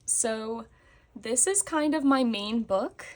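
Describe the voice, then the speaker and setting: A young woman, slightly hoarse after a concert, chatting casually as she shows her planners on camera. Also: a little high, expressive, slow. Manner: chatty, with fillers and frequent laughs. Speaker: planner vlogger. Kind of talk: casual show-and-tell chat. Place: indoors at a desk, hands on a table.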